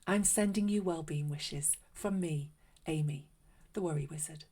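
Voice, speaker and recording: A woman narrating a read-aloud story for children in a gentle, unhurried, expressive way. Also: low-pitched. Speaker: children's story reader and host.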